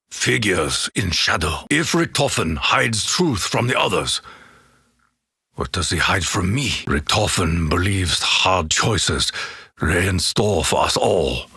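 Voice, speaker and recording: An actor playing a solemn, honor-bound Japanese warrior in a video game, with a Japanese accent and a grave, formal, measured delivery in a gravelly, husky voice. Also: male voice, on the low side, sharp tone, no echo, clean studio sound, heavily acted. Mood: grave, wary. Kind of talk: scripted video game character quote lines.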